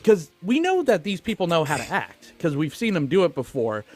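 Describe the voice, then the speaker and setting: A man's voice: a little high, huge pitch swings, brisk, a little mellow, faint music behind. A man co-hosting a podcast, chatting casually and swearing freely as he talks about films. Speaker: film podcast co-host. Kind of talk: casual film discussion, profane.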